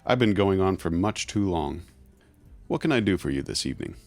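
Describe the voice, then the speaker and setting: Very deep-voiced, condescending underground fixer played by a man. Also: lively intonation, gravelly, darkish tone. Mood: cool, courteous. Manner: measured, formal, condescending. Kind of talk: scripted audio drama acting.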